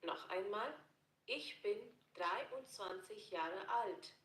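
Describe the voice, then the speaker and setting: A woman teaching German phrases in a scripted lesson narration, repeating each phrase slowly and carefully. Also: medium pitch, fairly bright tone. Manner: slow, careful repetition of phrases. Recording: roomy echo.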